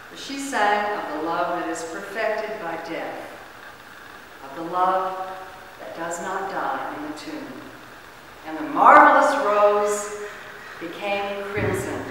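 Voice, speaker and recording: Slow woman storyteller in echoing hall. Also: mid-range voice. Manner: expressive, dramatic phrasing. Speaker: narrator. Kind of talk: dramatic storybook narration. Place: large church, distant camera mic. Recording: echoey, noisy hall from far off.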